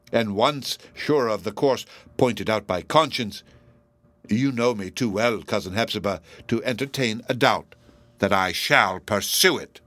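A man voicing a pompous, menacing elderly judge in a classic novel audiobook, speaking in a formal, measured, self-righteous way. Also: medium pitch, a bit bright, no echo, voice pushed up, lightly acted.

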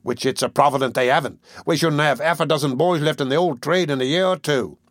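A man voicing a surly, short-tempered burglar in an audiobook, blunt and scornful, with rough slang. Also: mid-range voice, moderate pace, dry recording, studio quality, lightly acted. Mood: irritated. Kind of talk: audiobook character voice.

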